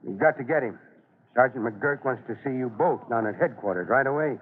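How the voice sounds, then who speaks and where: A man's voice: mid-range voice, animated, lightly acted. Man playing a fatherly fire chief, tinny old radio. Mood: firm, serious. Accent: American. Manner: brisk, authoritative, clipped questions. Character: gruff but fatherly fire chief, kindly and authoritative. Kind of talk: old-time radio drama acting. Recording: tinny vintage radio recording.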